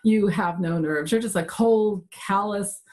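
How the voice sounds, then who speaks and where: A woman's voice: medium pitch, a little slow, a little mellow, close to an everyday voice. A wounded, exasperated young secretary played by a woman speaks curtly and reproachfully in a radio drama. The home video-call recording carries faint noise. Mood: hurt, reproachful. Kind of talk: old-time radio drama acting.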